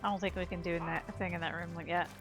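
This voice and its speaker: A woman's voice: lowish voice, level tone, quick pace. A woman doing casual let's-play commentary, chatting to herself as she plays. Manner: chatty, talks to herself while playing. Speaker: gameplay streamer. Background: video game music and sounds.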